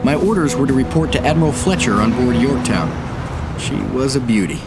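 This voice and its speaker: Male actor, American navy commander, game narrator, confident, wry, resolute, medium pitch, prominent music bed. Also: expressive, fairly quick, close to an everyday voice. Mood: reflective, resolute. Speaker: narrator and protagonist. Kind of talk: scripted game narration and dialogue. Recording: music behind voice.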